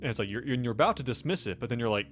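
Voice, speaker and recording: An American man narrating a tabletop game as game master, improvising in a halting, conversational way. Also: mid-range voice, animated, fairly bright tone. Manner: halting, conversational, peppered with "like" and "you know". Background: faint music.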